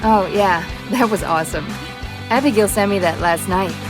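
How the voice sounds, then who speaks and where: A woman plays a chatty, friendly teenage schoolgirl in a comic dub. Her pitch is average for a woman. Guitar music is clearly heard behind her. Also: normal speed, close to an everyday voice. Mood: amused.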